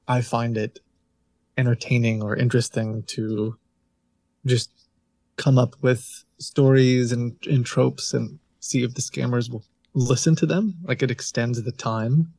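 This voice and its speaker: Relaxed, dry-sounding man. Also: mid-range voice, measured pace, no room sound. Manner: relaxed, thoughtful, laughs often. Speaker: scam-baiting streamer, guest. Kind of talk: casual interview answers.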